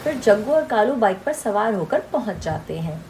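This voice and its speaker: A woman's voice: mid-range voice, big pitch swings, moderate pace, background noise. A woman narrator reading a scripted moral story for children.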